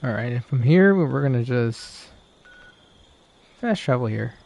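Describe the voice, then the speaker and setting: A man streaming a video game with casual, gravelly live commentary. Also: mid-range voice, expressive, unhurried, a little mellow. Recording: faint background noise.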